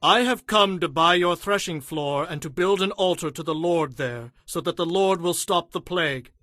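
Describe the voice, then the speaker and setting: A man's voice: fairly high pitch, moderate pace, sharp tone, dry recording, studio quality. An actor playing a penitent king, earnest and pleading, in dramatized scripture audio. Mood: firm.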